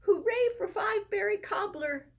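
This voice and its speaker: A woman voicing a bright, sociable female animal friend from a children's storybook, with eager exclamations. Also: very high voice, lively intonation, normal speed, vocal fry, voice pushed up, full character voice. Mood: excited.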